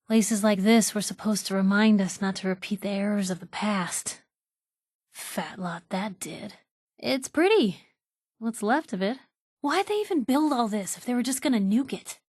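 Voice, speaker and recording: An actress playing a sardonic, plucky young reporter with an American accent, making casual companion remarks in a video game. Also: female voice, mid-range voice, expressive, normal speed, a little mellow, no room sound, clean studio sound, lightly acted. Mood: wry. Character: wry, plucky young female reporter in a post-nuclear wasteland.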